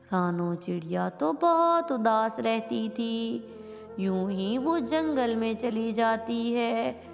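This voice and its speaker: A woman's voice: a little high, expressive, takes it slowly, vocal fry. A woman narrating a scripted cartoon story as its storyteller. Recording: long reverb with music behind.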